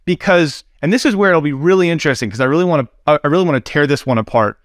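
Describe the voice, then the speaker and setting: A man working as a consultant on a business podcast, his voice rough and a bit deeper from a recent cold, speaking frankly and with animation. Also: racing, no echo, studio quality. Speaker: consultant, co-host. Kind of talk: casual business podcast chat.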